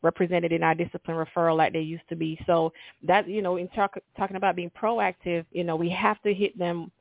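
A woman with a Jamaican accent talks eagerly as an interview guest, pausing on fillers as she explains things. Her voice comes over a thin, phone-like video call line. The sound is dry and close, with no room echo. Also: on the low side, flat delivery, vocal fry. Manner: enthusiastic, earnest, polite with frequent fillers. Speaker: middle school principal guest. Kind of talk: conversational interview. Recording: tinny call audio, dry.

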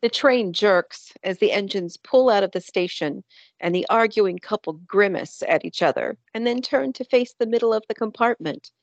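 A woman's voice: mid-range voice, normal speed, no room sound, lo-fi sound. A woman reading scripted narration for a radio play.

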